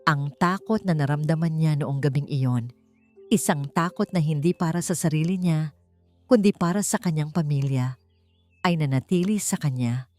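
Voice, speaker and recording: A woman's voice: sits low, normal speed, darkish tone, soft background music. A woman narrating a scripted dramatic story.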